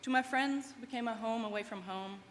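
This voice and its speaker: A woman's voice: a little high, fairly quick. German woman giving a graduation speech in a hall. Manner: earnest, heartfelt reflection. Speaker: student graduation speaker. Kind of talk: prepared commencement speech. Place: large event hall. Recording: long hall reverb, faint background noise.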